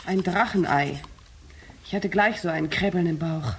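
An actress playing an uneasy, suspicious astronaut in a science fiction radio drama, grumbling and dramatic. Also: female voice, fairly low pitch, a little slow, slight background noise. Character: suspicious, uneasy female astronaut crew member.

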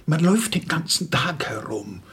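An actor playing a small, shabby, timid currency dealer in a radio play, husky and plaintive, with a world-weary storytelling manner. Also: male voice, on the high side, very animated, moderate pace, a bit bright, full character voice.

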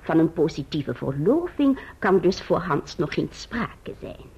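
Elderly spinster aunt, solemn and fussy, low husky voice, formal halting delivery, radio play. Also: female voice, sing-song, a little mellow, exaggerated voice. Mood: solemn, hesitant. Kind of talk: radio drama acting. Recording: muffled, dull old radio recording.

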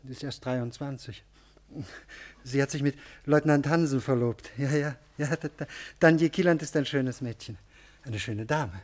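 A man's voice: mid-range voice, moderate pace, a little mellow, natural voice. An actor playing a timid, servile, frail man in his forties in a radio drama, hesitant and stammering, often repeating his words. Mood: eager, shy. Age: 40s. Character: timid, servile, frail man of forty-three, humble town fool. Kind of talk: German radio drama acting.

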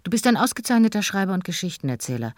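A woman's voice: mid-range voice, sing-song, very fast, natural voice. Woman acting a prim, formal schoolteacher. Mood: praising, reserved. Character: prim schoolteacher judging a pupil's writing. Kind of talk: German radio docudrama acting.

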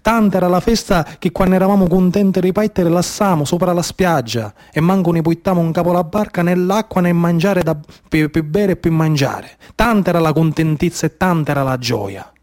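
Man acting a desperate Sicilian-accented castaway, higher-voiced. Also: fairly quick, hardly any pauses, darkish tone, lightly acted. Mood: bitter, anguished. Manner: repetitive, urgent, incantatory. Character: desperate exhausted male migrant adrift at sea. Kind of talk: theatrical radio monologue.

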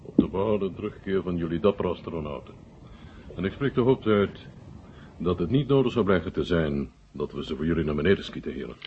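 A male actor playing a genial, sly Soviet marshal in a Dutch radio drama, with a husky voice and deliberate, halting phrasing. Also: low-pitched, animated, slight background noise. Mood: genial, hospitable. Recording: muffled old radio recording.

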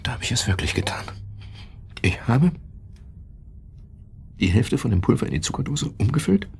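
An actor playing a bitter, agitated husband in a German radio play, confessing in a halting, brooding way and repeating his words. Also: medium pitch, very animated, vocal fry, darkish tone, slight background noise. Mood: brooding confession.

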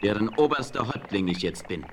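An actor playing a proud young Comanche chief in a 1970s radio play, calm and measured. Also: male voice, lowish voice, over a noisy crowd. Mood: solemn.

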